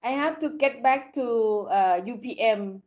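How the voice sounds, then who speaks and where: A middle-aged Thai-accented woman lectures over a video call, her voice a touch higher than most women's. She talks in halting English, restarting sentences and leaning on "kind of". It sounds like a tablet mic in a room at home. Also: darkish tone. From Thailand. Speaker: university lecturer. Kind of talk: online panel talk.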